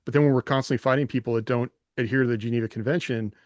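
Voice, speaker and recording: A man's voice: mid-range voice, fairly even intonation, very fast, no room sound, clean studio sound. A man co-hosting a podcast, talking casually and thinking aloud through rhetorical questions. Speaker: podcast host, former soldier.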